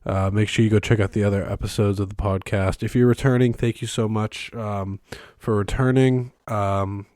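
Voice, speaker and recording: Young guy podcasting from his bedroom, kinda low voice, hoarse and stuffed up, lots of ums, dry sound. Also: steady tone, moderate pace, darkish tone, dry recording. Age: young adult. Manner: rambling, many ums and restarts. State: hoarse and congested. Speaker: podcast host. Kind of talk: casual solo podcast monologue. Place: bedroom used as a studio. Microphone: close microphone, filmed on camera.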